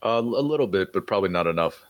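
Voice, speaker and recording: Brief: deep-voiced male co-host, hoarse from a cold, relaxed steady reader, dry mic. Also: fast, no room sound. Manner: easygoing, steady reading with small stumbles. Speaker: guest co-host, blogger. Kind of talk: casual podcast chat and reading an article aloud. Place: home office.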